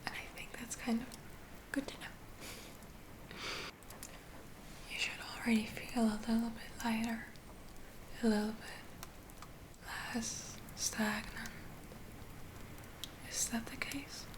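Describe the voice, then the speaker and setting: A woman doing a whispered ASMR roleplay as a gentle witch healer, soothing and reassuring in an unhurried manner. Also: fairly high pitch, level tone, low-quality recording. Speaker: ASMR performer.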